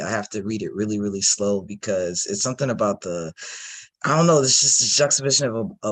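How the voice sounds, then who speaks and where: A man with a fairly low voice shares thoughts in an informal literary discussion. The sound is very dry, with no room around it. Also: no echo. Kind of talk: casual literary panel discussion.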